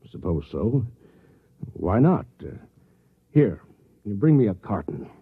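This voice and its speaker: Troubled suburban everyman: husky, swinging pitch, halting and urgent, vintage radio sound. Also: sing-song, narrow, tinny audio, exaggerated voice. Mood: guarded, weary. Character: troubled, increasingly paranoid suburban husband and office worker. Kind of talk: 1950s radio drama acting. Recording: thin, band-limited old radio recording.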